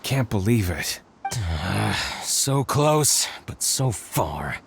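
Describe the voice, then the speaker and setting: Slow, gravelly, dejected contestant. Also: male voice, on the low side, big pitch swings, exaggerated voice. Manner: groaning, dejected. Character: disappointed, grumbling male contest entrant. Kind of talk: English video game voice acting. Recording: music playing behind.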